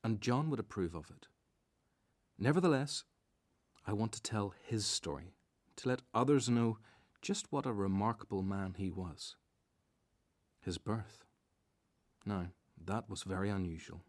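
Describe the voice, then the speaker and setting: An actor as a reflective storyteller, narrating a scripted radio drama in a measured way. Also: male voice, fairly low pitch, dry recording, clean studio sound, natural voice.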